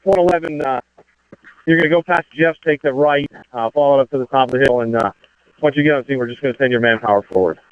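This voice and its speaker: A man's voice: medium pitch, fast, clear, resonant tone, no echo. A man giving terse, procedural orders as a fire incident commander over two-way radio. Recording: tinny, band-limited fire radio transmission.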